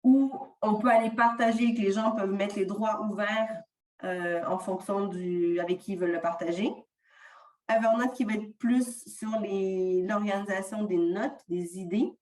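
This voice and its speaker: A woman's voice: medium pitch, a little mellow, roomy sound, far from the mic. A woman with a slight non-French francophone accent presenting an online workshop on tools for freelancers, explaining with frequent fillers.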